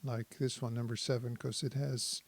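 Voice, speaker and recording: A man's voice: mid-range voice, little pitch movement, normal speed, gravelly. A man giving a casual hands-on tutorial, talking as a presenter who thinks aloud while he works. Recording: synthesizer tones behind the voice. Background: synthesizer sounds.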